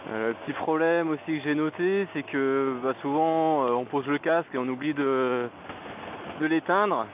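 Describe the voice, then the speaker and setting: A man's voice: fairly high pitch, normal speed. A man giving a casual, unscripted product review as a vlogger, hesitant and often searching for words, speaking into a helmet headset microphone. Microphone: Bluetooth motorcycle helmet headset microphone. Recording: tinny narrow-band helmet intercom mic, background noise.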